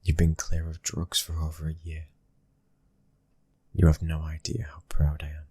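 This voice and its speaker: A British man playing a tender, devoted mafia husband and father in a soft ASMR roleplay, speaking in a gentle, breathy hush and often whispering. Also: rumbling low, completely flat delivery, moderate pace, no echo. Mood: proud, sincere.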